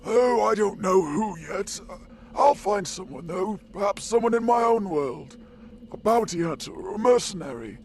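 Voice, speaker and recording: A man voicing a vengeful creature who speaks slowly and broodingly. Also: high voice, animated, vocal fry, darkish tone, a little hiss or hum, pitched above the performer's own voice.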